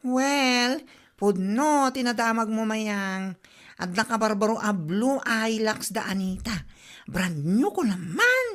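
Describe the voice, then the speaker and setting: Woman acting a high-pitched, scolding Ilocano village gossip. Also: expressive, slow, a bit bright. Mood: gossipy. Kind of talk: comedy radio drama acting.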